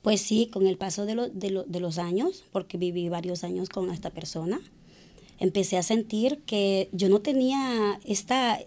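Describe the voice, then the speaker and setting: A woman from Ecuador, middle-aged, speaks as an interview guest with a mellow, unhurried tone. She gropes for words, repeats herself and fills the gaps with small hesitations. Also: mid-range voice. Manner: hesitant, searching for words, with many fillers. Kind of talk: personal account in an interview.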